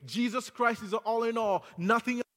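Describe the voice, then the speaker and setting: Haitian-born preacher with a French accent. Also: male voice, very high-pitched, moderate pace, a bit bright, in a large hall. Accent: French-accented English. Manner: earnest, rising to fervent exhortation. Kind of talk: sermon. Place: church sanctuary.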